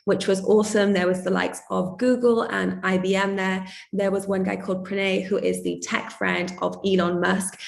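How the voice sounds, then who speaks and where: A woman moderating an online community call, upbeat and enthusiastic, her voice slightly croaky. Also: mid-range voice, reverberant.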